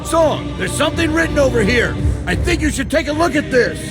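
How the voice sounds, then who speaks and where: An actor playing an excitable, wary male dungeon hunter in an English game dub. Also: mid-range voice, normal speed, vocal fry. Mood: curious. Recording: very loud music under dialogue.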